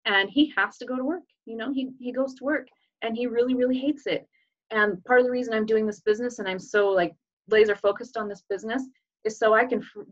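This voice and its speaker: A woman talks through a recruiting pitch with earnest, upbeat energy and easy fillers. Her voice sits in an ordinary middle register. The video-call sound is somewhat muffled and dull. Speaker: business presenter. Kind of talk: recruiting sales presentation.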